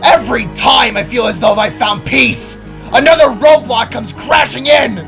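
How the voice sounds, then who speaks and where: Male fantasy creature, high-pitched screaming, furious, heartbroken father, dramatic audio story, faint music. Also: normal speed, vocal fry, a bit bright, heavily acted. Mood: furious, despairing. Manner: screaming in sorrow and fury. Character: anguished, enraged male spark, grieving father.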